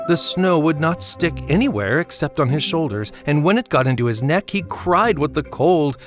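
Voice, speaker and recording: A man reads a literary story with a measured, gently wry delivery. His medium-pitched voice rises and falls expressively, with soft music behind it. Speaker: narrator. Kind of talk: literary scripted storytelling narration.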